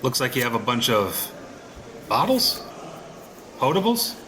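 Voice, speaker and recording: A man's voice: medium pitch, big pitch swings, a little slow, background noise. A man with an American accent playing a skeptical, sardonic ordinary husband in an audio drama, hesitant but curious, with wry colloquial delivery. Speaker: actor in an audio play. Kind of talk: audio drama acting in casual American talk.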